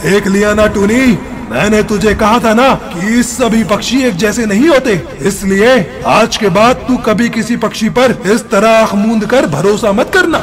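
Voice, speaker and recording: A man plays a protective male ghost bird in a cartoon. The voice is high for a man, with a cautioning, admonishing delivery. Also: a little slow.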